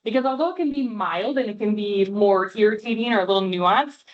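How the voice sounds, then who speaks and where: A woman's voice: mid-range voice, brisk. A woman eye doctor giving a passionate health presentation to an audience, with a big voice, speaking away from the microphone. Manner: warm, passionate, clear explaining. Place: room with a live audience, talking away from the mic. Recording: dull lo-fi room recording.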